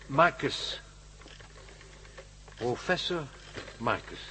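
An actor in a Dutch radio play playing a fussy, nervous professor who speaks formally and hesitantly. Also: male voice, mid-range voice, expressive, extremely slow. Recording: loud car and street noise.

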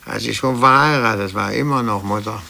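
An actor in a radio play voicing an embittered, brooding middle-aged office clerk who speaks haltingly and repeats himself. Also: male voice, on the low side, gravelly, pushed and bright, full character voice. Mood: bitter, resigned. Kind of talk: 1950s German radio play acting.

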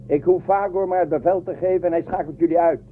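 Actor as power-hungry male space ruler, slightly high voice, commanding, bold, radio drama, narrow phone-like band. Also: steady tone, fast, lightly acted. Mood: threatening, bargaining. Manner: commanding, theatrical. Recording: thin phone-like radio recording, faint noise.

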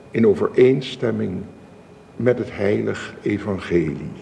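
In a long, church-like reverb with some hiss, a solemn male minister conducting a wedding in a radio play. He speaks very slowly and ceremoniously, in a mellow voice with a gravelly creak. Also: fairly low pitch, exaggerated voice. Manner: formal, measured, ceremonious.

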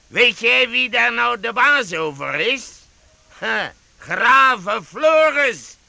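An actor playing a boisterous, mocking one-eyed vagabond chief with a gravelly voice and pompous, theatrical delivery in a radio play. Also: male voice, very high-pitched, sing-song, slow, sharp tone, no room sound, heavily acted. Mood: taunting. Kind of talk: Dutch radio play acting.

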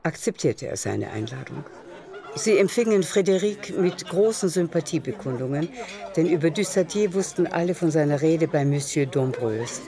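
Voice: medium pitch, expressive, moderate pace, dark tone. A narrator reading scripted literary prose in a radio drama. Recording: music underneath. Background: music.

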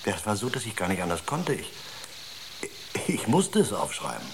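A man's voice: on the low side, huge pitch swings, normal speed. A man playing an earnest psychologist on a space crew in a naturalistic radio drama, explaining things in a searching way. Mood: hesitant, absorbed. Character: earnest male space-crew psychologist, absorbed in his experiment. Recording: noisy radio drama recording.

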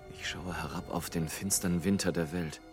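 Man acting an exalted, deep-voiced airship pilot. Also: fairly even intonation, normal speed, close to an everyday voice. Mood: hushed awe. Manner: theatrical, declamatory, poetic. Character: exalted male airship pilot, wildly agitated, then awestruck and solemn. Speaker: radio play actor. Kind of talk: literary radio play monologue. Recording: 1980s radio drama production with music.

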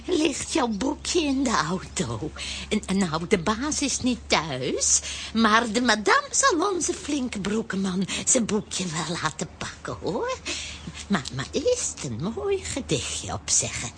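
Cooing, slow-talking old lady. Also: fairly high pitch, huge pitch swings, fairly bright tone, slight background noise, exaggerated voice. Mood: cooing, doting. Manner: cooing baby talk to a child. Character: doting, nearsighted, very old garage lady. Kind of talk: children's radio play acting.

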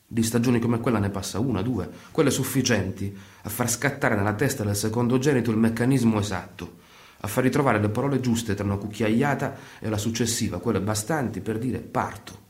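A man acts a theatrical male storyteller in a radio play. His voice sits fairly low and moves in measured, deliberate phrases. The recording is clearly roomy, with echo around the words. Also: darkish tone, lightly acted. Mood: measured, wistful. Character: male storyteller and troupe leader, measured, wistful. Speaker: narrator.

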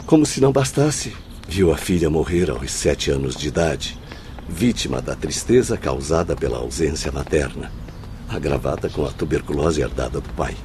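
An actor playing an earnest, well-informed spirit who recounts tragedy in formal, eloquent, emphatic phrases in a radio drama. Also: male voice, rumbling low, lively intonation, normal speed, a little mellow, a little hiss or hum. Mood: grave, compassionate.

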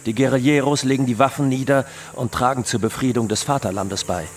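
An actor playing a defiant, self-important drug lord declaiming public statements in a German radio documentary drama. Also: male voice, medium pitch, steady tone, a little fast, slight background noise, natural voice. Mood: solemn. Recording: music behind the voice.

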